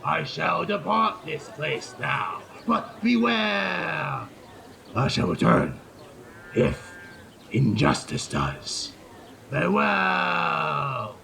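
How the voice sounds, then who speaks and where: An ominous spirit passing judgment in a fantasy drama. The voice is pushed down low and creaky, drawn out very slowly, solemn and commanding. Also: male voice, very animated, sharp tone, full character voice. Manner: slow, portentous, archaic phrasing. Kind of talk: fantasy audio drama acting.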